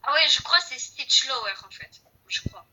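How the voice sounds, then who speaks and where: Woman, slight Portuguese accent, casual gaming chat, high voice, very bright tone, repetitive, talks to viewers. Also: animated, normal speed, dull audio. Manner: chatty, repeats herself, addresses viewers. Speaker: gaming video host. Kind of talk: casual chat while playing a game.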